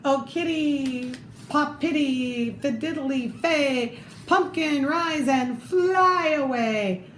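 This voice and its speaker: A woman's voice: sits high, drawn-out words, voice pushed up. A woman voicing a kindly, scheming neighbour woman from a children's Halloween storybook. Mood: chanting. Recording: echoey room with faint noise.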